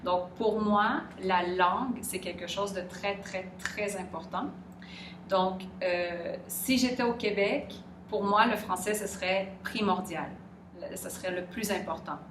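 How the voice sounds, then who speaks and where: A middle-aged woman with a Québécois French accent answers questions in a measured, reflective way, often pausing to search for the right word. She sits some distance from the mic in a roomy, echoing space. Clear background noise runs under her voice throughout. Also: mid-range voice. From Quebec. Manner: thoughtful, pauses to search for words.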